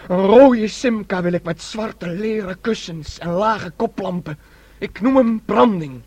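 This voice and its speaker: Highish, childlike, dreamy young man. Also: measured pace, a little mellow, slightly rough, no echo, exaggerated voice. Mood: stubborn, boyish. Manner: naive, insistent, musing. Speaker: actor in a radio play. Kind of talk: Dutch radio drama acting.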